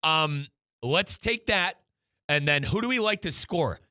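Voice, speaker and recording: A man with an American accent hosts a conversational sports show. His voice is bright and forward, with a chatty, emphatic delivery aimed right at the listener. The sound is close and very dry. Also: on the high side, expressive, measured pace, clean tone, dry recording. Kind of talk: conversational sports talk with live sponsor reads.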